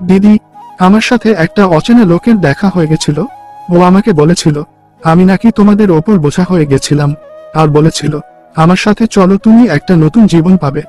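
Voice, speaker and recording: A man plays an earnest young brother from a cartoon tale. His voice is pitched high for a male, and he speaks with devotion over background music. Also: normal speed, a little mellow, lightly acted. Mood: grateful, reassuring. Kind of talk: cartoon story dialogue.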